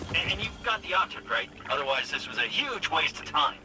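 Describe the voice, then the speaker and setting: An actor voicing an excitable, jittery, chatty sidekick in a video game, animated and nervous with a stammering, joking delivery. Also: male voice, fairly high pitch, fairly quick. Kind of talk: video game character dialogue. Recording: loud music behind the voice.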